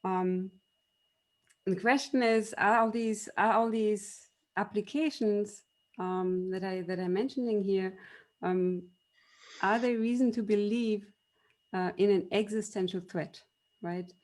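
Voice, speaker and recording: German-accented woman lecturer, halting delivery. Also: medium pitch, fairly even intonation. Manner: hesitant, many ums and restarts; explanatory, storytelling tone. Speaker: university machine learning lecturer. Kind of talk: debate panel opening remarks.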